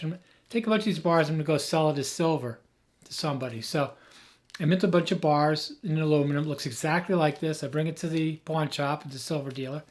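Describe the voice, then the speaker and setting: Hoarse man, emphatic tech explainer. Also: on the high side, a little mellow. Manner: emphatic, rambling, with frequent restarts. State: hoarse, has lost his voice. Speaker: tech video host. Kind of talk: off-the-cuff explainer.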